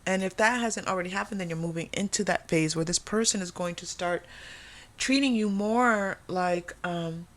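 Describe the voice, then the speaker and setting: A woman's voice: on the low side, normal speed. A woman giving a casual, intuitive tarot reading to viewers, thinking aloud conversationally and repeating phrases for emphasis. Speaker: tarot reader and host.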